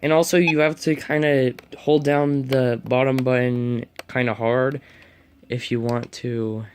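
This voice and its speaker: A man talks casually to himself as he works through setting up a device on camera. His voice sits at a middle pitch. The recording is clearly noisy, like an amateur home video. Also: little pitch movement, a little slow. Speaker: hobbyist reviewer. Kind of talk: casual unboxing and setup commentary.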